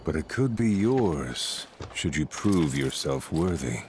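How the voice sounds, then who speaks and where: An actor playing a brooding, cryptic keeper of a powerful card in a video game, speaking in a formal, measured, ominous way. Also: male voice, very deep voice, big pitch swings, darkish tone, a little hiss or hum, heavily acted. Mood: grave, cryptic. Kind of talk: video game cutscene acting.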